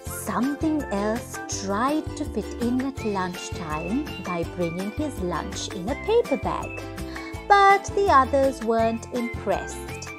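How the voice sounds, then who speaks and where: Young woman, children's storyteller, slow pace, lively swooping intonation, music behind. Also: fairly high pitch. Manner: slow, expressive, measured for children. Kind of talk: scripted children's read-aloud and questions.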